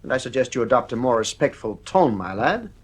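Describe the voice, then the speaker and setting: A man's voice: medium pitch, very animated, brisk, slightly rough. An actor playing a stern, nervy father with a British accent and clipped, blustering speech in a 1950s radio drama. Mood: stern anger.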